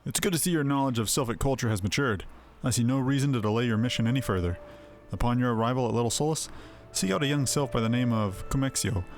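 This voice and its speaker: A man's voice: medium pitch, fast, few pauses, faint music behind, natural voice. A man voicing a solemn, dutiful official in a fantasy game, speaking formally and earnestly. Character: solemn, dutiful forest official briefing an envoy.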